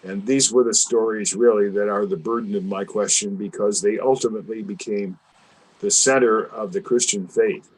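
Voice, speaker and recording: A man's voice: lowish voice, fairly even intonation, moderate pace, slightly rough, poor audio. Formal man hosting a video call. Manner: formal, precise, lecturing. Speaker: webinar host. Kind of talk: measured discussion on a video call.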